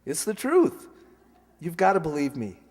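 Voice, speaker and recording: An actor playing an earnest, flustered young son in love, emphatic and pleading, in a radio comedy. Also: male voice, on the high side, wildly swooping pitch, a little slow, darkish tone, heavily acted. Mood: pleading, tender. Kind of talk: old-time radio comedy acting. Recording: reverberant hall, stage radio play.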